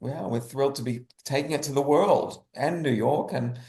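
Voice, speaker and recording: A man speaking as an interview guest, enthusiastic and halting, often repeating phrases as he goes. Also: medium pitch, darkish tone. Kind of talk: conversational interview talk. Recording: roomy echo.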